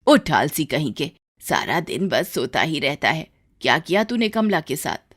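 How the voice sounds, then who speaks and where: A woman's voice: deep voice, expressive, unhurried, fairly bright tone, full character voice. A woman voicing a scolding, worried elderly mother-in-law in a cartoon story, nagging and exasperated.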